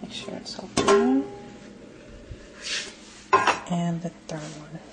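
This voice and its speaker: A woman's voice: on the low side, animated, slow pace, loud music behind the voice. A woman giving a casual, rambling, unscripted cooking demonstration as a home cook in her kitchen. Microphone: handheld camera mic. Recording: noisy amateur camera audio with clicks.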